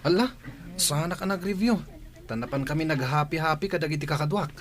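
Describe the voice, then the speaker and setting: Scheming teenage schoolboy for audio drama, acted out; idle slacker, mid-pitched voice. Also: animated, a little fast, lightly acted. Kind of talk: amateur audio drama acting.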